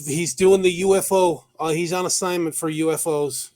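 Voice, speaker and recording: A man's voice: a little high, fairly even intonation, moderate pace, darkish tone. A man hosting a talk show, giving conversational news commentary partly read from notes, thinking aloud as he goes.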